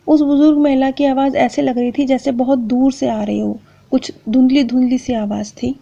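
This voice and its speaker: A woman narrating in a measured, earnest, explanatory way, as if presenting prepared accounts on a spiritual channel. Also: high-pitched, steady tone, creaky, dark tone. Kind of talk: scripted narration of true accounts. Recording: dull, lo-fi sound.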